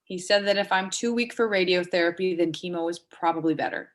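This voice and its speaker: A woman in a dramatic reading, playing a sharp, foul-mouthed, vulnerable woman with a halting, blunt delivery. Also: lowish voice, natural voice. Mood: hesitant, subdued. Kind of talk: dramatic reading of a stage play dialogue.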